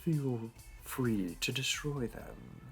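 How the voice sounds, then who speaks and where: A man's voice: medium pitch, lively intonation, drawn-out words, gravelly, full character voice. A man playing a suave, flirty supervillain in an audio roleplay, with a teasing, purring, theatrical delivery. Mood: smug, inviting. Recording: music under the voice. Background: music.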